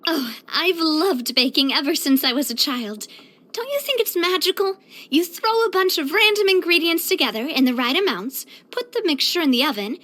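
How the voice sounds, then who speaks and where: A young woman voicing a cheerful, elegant young lady leader in a video game, earnest, chatty and expressive. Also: very high voice. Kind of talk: video game character voice lines.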